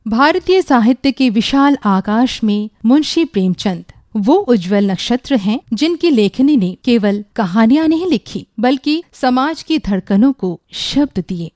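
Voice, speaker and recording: A woman's voice: a little high, lively intonation, unhurried, hardly any pauses, a little mellow, dry recording, clean studio sound. A woman announcing a radio drama in formal scripted narration with a solemn, literary delivery.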